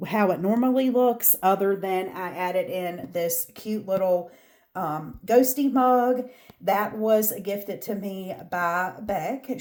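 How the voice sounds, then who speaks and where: A woman's voice: mid-range voice, warm tone. A woman with a Southern American accent, congested and stuffy-nosed, chatting casually as she walks through her craft room for her vlog. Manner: chatty, with frequent ums and asides. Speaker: craft channel host. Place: home craft room, walking around.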